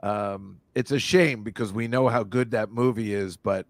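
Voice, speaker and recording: A man's voice: fairly low pitch, steady tone, normal speed, no echo. A man, a comedian and film teacher, rambling in casual podcast chat and slipping into movie bits. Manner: rambling, slips into movie impressions.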